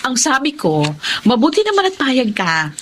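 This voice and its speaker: Babaeng among malambing, pabago-bago ang tono. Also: female voice, high-pitched, wildly swooping pitch, normal speed, a little hiss or hum. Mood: friendly, interested. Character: flirtatious, insistent female bakery manager, lonely boss. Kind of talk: radio-style melodrama acting in Tagalog.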